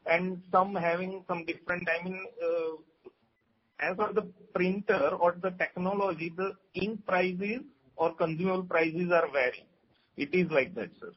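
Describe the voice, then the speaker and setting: A man with an Indian accent answering questions as a company executive on an earnings call, in a halting, hesitant way. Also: high-pitched, fairly even intonation, slight background noise. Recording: dull lo-fi conference call audio.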